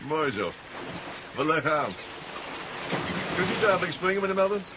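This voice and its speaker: Animated, high-voiced polite scientist. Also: male voice, normal speed. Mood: pleased. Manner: hesitant, explanatory. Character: eager, polite scientist reuniting with an old colleague. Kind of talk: 1970s Dutch radio drama acting. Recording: muffled, noisy old radio recording.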